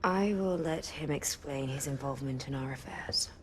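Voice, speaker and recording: A woman playing a composed, commanding Victorian noblewoman in a dramatic video game, with measured, formal, authoritative speech. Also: extremely low pitch, slight background noise. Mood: composed, guiding.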